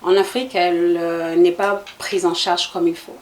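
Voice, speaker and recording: A woman's voice: on the low side, level tone, normal speed, slight background noise. A woman from Congo in her forties, answering interview questions in a hesitant, explanatory way with many fillers. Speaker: guest, association president.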